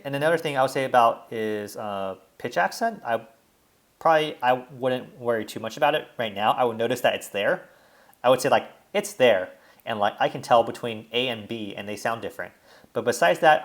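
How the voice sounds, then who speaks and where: A young man in a casual, reflective vlog monologue, rambling and restarting often, with constant "like" fillers. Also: on the low side. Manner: rambling, heavy use of "like" fillers, frequent restarts. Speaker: language learning vlogger.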